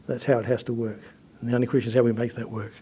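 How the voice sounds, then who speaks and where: A man presenting at an industry seminar in an easygoing, conversational way, with frequent fillers and restarts. His voice is mellow and dark, at an ordinary pitch. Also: little pitch movement, rapid-fire, a little hiss or hum.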